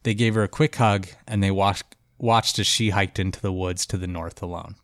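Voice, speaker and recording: A man's voice: low voice, fairly even intonation, normal speed, fairly bright tone, dry recording. Congested man telling a story, dry sound. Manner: loose and chatty, with many "like" and "um" fillers. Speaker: podcast host and storyteller. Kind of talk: casual storytelling chat.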